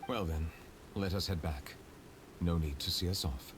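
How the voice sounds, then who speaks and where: An actor playing a stern, formal male chief justice in a video game, speaking in a measured, solemn way. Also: sits low, background noise, natural voice.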